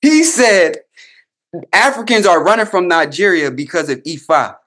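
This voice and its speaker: A man's voice: high voice, expressive, normal speed, a bit bright. A man with an American accent giving casual reaction commentary in a combative, emphatic, slangy way, repeating himself for punch. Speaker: host, babalawo commentator.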